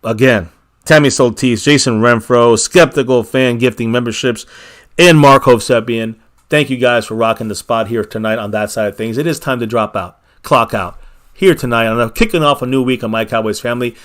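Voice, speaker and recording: A man's voice: medium pitch, moderate pace, a bit bright. A man hosting a casual fan livestream from a small home bar, thanking viewers by name and repeating his catchphrases with hype. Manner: hyped, repeats catchphrases, calls viewers baby. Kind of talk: casual livestream sign-off with shout-outs.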